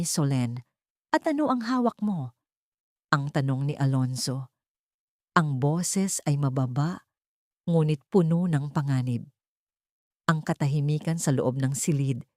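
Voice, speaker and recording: Low-voiced woman narrating dramatic fiction. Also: warm tone, no room sound, studio quality. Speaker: storyteller. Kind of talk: scripted dramatic audiobook narration.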